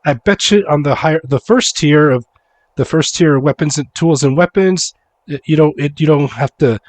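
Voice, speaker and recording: Hoarse man narrating his gameplay. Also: on the high side, moderate pace, dry recording. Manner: repeats words, talks himself through tasks. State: hoarse from a cold. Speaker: streamer, game commentator. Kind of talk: casual gaming commentary.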